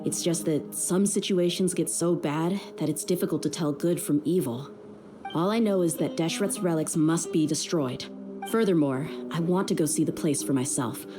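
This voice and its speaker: A resolute young female desert mercenary from a video game, acted by a woman. Her voice is low, with a tough, loyal air. Music is clearly heard behind her. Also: moderate pace, natural voice.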